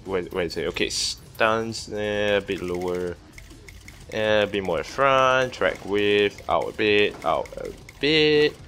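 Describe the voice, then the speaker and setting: A young man hosts a casual let's play with a very lively, swinging pitch. He rambles, repeats himself and swears freely, with faint game music behind him. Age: young adult. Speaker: gaming video host.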